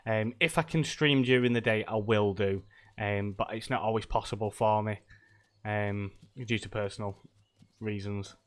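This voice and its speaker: A man's voice: lowish voice, fairly even intonation, moderate pace. A middle-aged man, stuffy from a cold, chatting casually and rambling with lots of ums and laughs while live-streaming a game.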